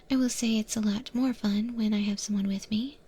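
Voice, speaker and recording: A woman voicing a friendly, encouraging eldritch monster chef in a breathy, gentle ASMR roleplay whisper. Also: on the high side, steady tone, moderate pace. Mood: relaxed, friendly. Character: friendly female eldritch monster chef training a new hire. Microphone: close ASMR microphone.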